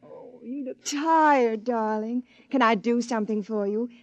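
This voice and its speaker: A woman playing a slow, doting wife. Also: on the high side, a little mellow, no echo, natural voice. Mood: adoring. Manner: sweet, slow and devoted. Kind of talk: 1950s radio acting. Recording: dull 1950s radio recording.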